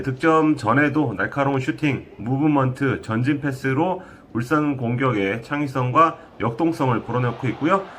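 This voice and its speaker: A man reporting a football match review, speaking in a measured way with frequent fillers. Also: medium pitch, fairly bright tone, a little hiss or hum.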